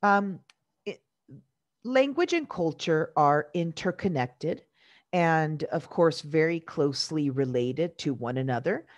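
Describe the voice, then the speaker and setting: A woman in her forties with a low voice presents at a conference in an earnest, measured way. Her first language is Italian, and she grew up in Venezuela. She pauses often to find her words, and the sound is dry and close. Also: animated, no echo.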